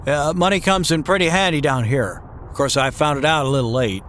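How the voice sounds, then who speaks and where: A man's voice: a little high, brisk, a bit bright, slight background noise, close to an everyday voice. A man playing a troubled, bewildered small-town family man in an old-time radio drama, with a hesitant, stammering delivery. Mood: despairing.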